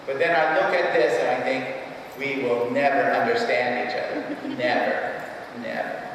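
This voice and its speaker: A man in his fifties giving a live religious keynote, emphatic and halting, repeating key phrases and putting questions to his listeners. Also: mid-range voice. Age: 50s. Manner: poses rhetorical questions to the audience. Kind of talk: live keynote address, storytelling religious teaching. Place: large reverberant hall before an audience. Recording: echoey hall, distant mic, faint noise.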